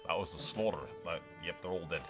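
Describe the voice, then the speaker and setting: A man's voice: low voice, fairly quick, over music. A man giving casual, blokey game commentary, swearing freely and addressing his viewers. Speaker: gaming video host.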